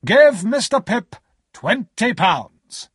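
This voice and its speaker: A brusque, commanding lawyer from a storybook, acted out by a male narrator. He speaks sharply and deliberately, pitched up and swooping, with a husky edge. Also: sing-song, a bit bright, no echo, clean studio sound, heavily acted.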